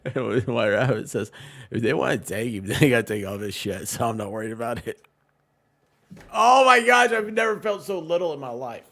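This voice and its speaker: Animated man chatting and telling stories. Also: mid-range voice, moderate pace. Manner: jokey, tells stories by quoting people in exchanges. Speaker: live stream co-host. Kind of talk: casual rambling chat with anecdotes.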